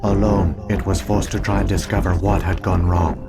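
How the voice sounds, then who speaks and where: An ancient alien AI from a sci-fi game, low and grave. The delivery is formal and deliberate, calm yet mournful, over clearly audible music. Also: male voice. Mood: calm, foreboding. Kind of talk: measured expository video game acting.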